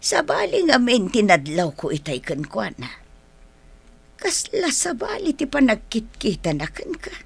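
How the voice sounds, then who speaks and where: Radio drama: elderly Ilocano granny, high raspy voice, very expressive, caring and watchful. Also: female voice, measured pace, full character voice. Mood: concerned, probing. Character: elderly grandmother, doting, watchful, concerned mother.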